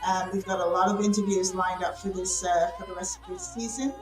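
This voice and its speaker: A woman's voice: on the low side, fairly even intonation, a little fast, over music. A woman, a show host from the UK, talking casually and live with plenty of ums and repeated phrases. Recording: roomy echo.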